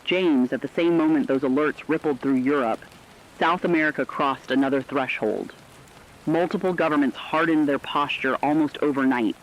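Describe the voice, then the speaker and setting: A solemn crisis-radio anchor acted by a man speaks in measured, dramatic lines at a middling pitch. The sound is dull and lo-fi, like an emergency broadcast in a radio drama. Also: natural voice. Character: somber radio news anchor reporting a collapsing war. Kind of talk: scripted fictional emergency news broadcast.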